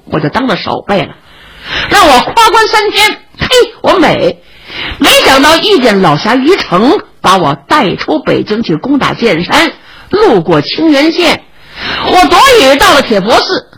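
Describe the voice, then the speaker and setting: A woman's voice: high voice, fairly bright tone, slight background noise, voice pushed up, full character voice, rough recording. A woman voicing a brave young swordsman in a storytelling performance, chatty, coaxing and a little boastful.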